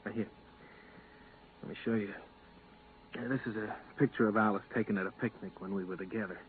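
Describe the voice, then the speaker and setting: Low, hesitant, anxious spaceman, played by a man. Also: fairly even intonation, lightly acted. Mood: anxious, pleading. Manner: hesitant, halting, earnest. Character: anxious, engaged young spaceman dreading being left behind. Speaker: radio drama actor. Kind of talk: 1950s radio drama acting. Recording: tinny, hissy 1950s radio recording.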